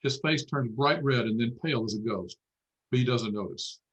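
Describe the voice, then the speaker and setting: A man's voice: medium pitch, normal speed, a bit bright, poor audio. Guy reading out script directions at a table read, matter of fact, normal mid voice. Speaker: narrator reading stage directions.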